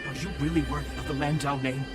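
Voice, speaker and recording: An actor playing a dutiful, stern young guard captain in a video game, speaking in a formal, commanding, earnest way. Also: male voice, on the high side, flat delivery, fast talker, natural voice. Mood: accusing. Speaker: military captain. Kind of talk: dramatic video game voice acting. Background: game music.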